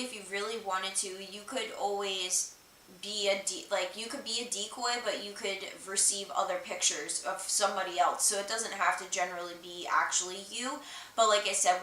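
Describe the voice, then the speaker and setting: Bright-voiced woman in a roomy, hissy recording. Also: medium pitch, steady tone, moderate pace. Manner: rambling, informal, many hesitations and "like". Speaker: livestream host. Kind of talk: casual livestream chat, explaining and reading out viewer comments. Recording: roomy, distant mic with faint hiss.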